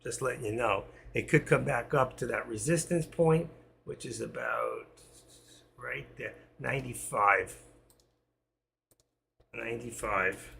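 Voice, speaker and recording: Gravelly man calling a live market. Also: medium pitch, unhurried, long pauses, slight background noise. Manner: talks to chat while watching charts, quiet asides between sign-offs. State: losing his voice. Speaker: trading live stream host. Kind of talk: live market commentary to viewers.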